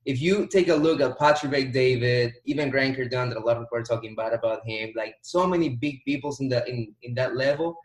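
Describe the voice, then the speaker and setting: A man's voice: medium pitch, a little fast, hardly any pauses. A man, an entrepreneur guest giving motivational advice in a casual interview, repeating words for emphasis. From Dominican Republic. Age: 20s. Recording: roomy echo.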